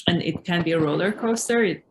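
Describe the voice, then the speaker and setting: A woman's voice: lowish voice, fairly quick, slight background noise. A woman whose first language is Farsi, co-presenting a webinar in an earnest, thoughtful way with many fillers. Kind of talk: webinar talk.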